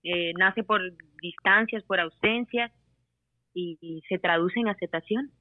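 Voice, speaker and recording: A woman raised in Costa Rica and Panama talks about her music over a phone line, cheerful and quick to laugh. The sound is tinny and narrow, with no room around it. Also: mid-range voice, no echo. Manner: laughs often, warm and upbeat. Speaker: singer-songwriter guest.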